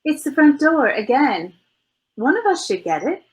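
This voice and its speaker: A woman playing a gentleman detective's teasing wife in a 1940s radio drama, with an arch, coaxing manner. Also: sits high, big pitch swings, moderate pace.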